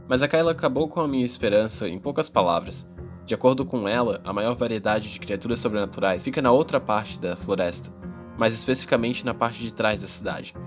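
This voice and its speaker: A man's voice: mid-range voice, brisk, faint music behind, lightly acted. A man with a Brazilian accent playing an anxious yet eager young man narrating his adventure in a dramatized audiobook. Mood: reflective.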